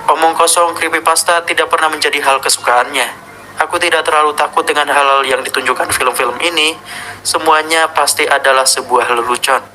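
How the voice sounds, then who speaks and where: Man playing skeptical young channel owner, lowered pitch, confiding, matter-of-fact, first-person horror tale, noisy background. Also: steady tone, fairly quick, pushed and bright. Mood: skeptical, uneasy. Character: skeptical young video channel owner, dismissive but curious.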